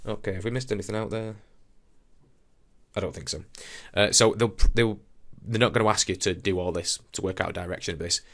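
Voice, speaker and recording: Low-voiced man teaching informally. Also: fairly quick, a bit bright. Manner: conversational, thinking aloud while demonstrating. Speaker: science teacher. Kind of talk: informal explanatory lesson narration.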